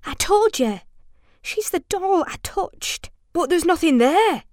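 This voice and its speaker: A woman's voice: high voice, lively intonation, measured pace, a bit bright, no echo, clean studio sound, voice pushed up, full character voice. A woman voicing a frightened young girl in a storybook, pleading in a tense, urgent way. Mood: insistent, scared.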